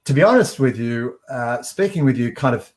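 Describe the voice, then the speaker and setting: A man's voice: medium pitch, expressive. Earnest man hosting a show. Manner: earnest, with filler pauses. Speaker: podcast host. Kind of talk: scripted guest introduction.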